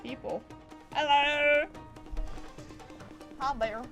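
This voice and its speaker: A woman's voice: sits high, drawn-out words, fairly bright tone. A woman livestreaming a video game, chatting casually and playfully to the game and her viewers. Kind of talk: casual gameplay commentary and chat. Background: video game music.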